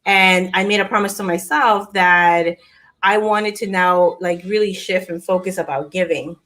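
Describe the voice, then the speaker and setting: A woman's voice: lowish voice, moderate pace. A woman in her forties from Peru, telling a personal story in a casual chat with many fillers and restarts, recorded over a video call. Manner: animated, laughs often, many fillers and restarts. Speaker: co-host.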